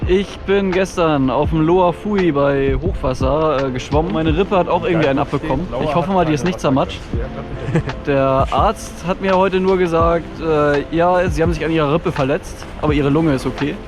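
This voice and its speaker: Young man, slightly high voice, music behind. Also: moderate pace. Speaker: kayaker on an expedition. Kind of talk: casual personal account.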